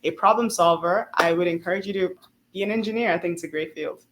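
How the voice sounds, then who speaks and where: A woman's voice: fairly low pitch, fast, a bit bright, slight background noise, low-quality recording. A young woman from South Africa whose first language is Swahili, speaking as a student guest in a casual interview, chatty, earnest and emphatic. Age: young adult.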